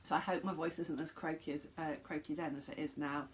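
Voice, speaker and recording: A woman with a slightly croaky throat, chatting casually to camera at home as a knitting podcast host, rambling with many ums and restarts. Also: low voice, fairly even intonation, a little fast.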